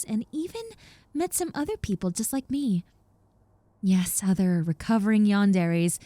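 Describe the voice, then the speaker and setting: A woman's voice: a little high, mellow, dry recording. A woman playing a nervous, apologetic young reformed yandere in a roleplay audio drama, speaking in a hesitant, stammering, earnest and reassuring way. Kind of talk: roleplay audio drama, conversational monologue to the listener.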